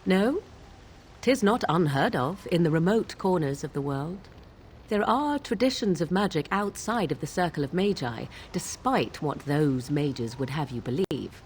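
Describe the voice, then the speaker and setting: A woman voicing a proud, aloof young witch of the wilds in a fantasy game, speaking in archaic, measured, formal phrases. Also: low-pitched, animated, slight background noise. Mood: lofty, instructive. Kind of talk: fantasy video game dialogue.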